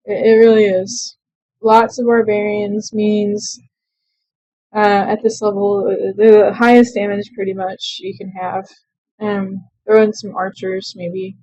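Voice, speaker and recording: A young woman casually commenting on her own strategy game play, chatty and quick to laugh at herself. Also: mid-range voice, steady tone, mellow, slightly rough, no echo, muffled recording. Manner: chatty, self-deprecating, laughs often. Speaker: let's play gamer.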